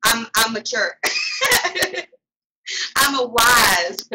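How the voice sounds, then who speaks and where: A woman in her thirties with a raspy voice, chatting casually and playfully as a guest on a live stream. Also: a little high, drawn-out words, heavy background noise. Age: 30s. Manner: playful, exclamatory. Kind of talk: casual chat.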